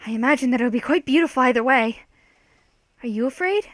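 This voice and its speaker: Storybook sister voiced by a woman reader: earnest, hopeful, brave, raised pitch. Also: expressive, a little fast, no room sound. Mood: reassuring. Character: brave, hopeful, prematurely aged sister in isolation.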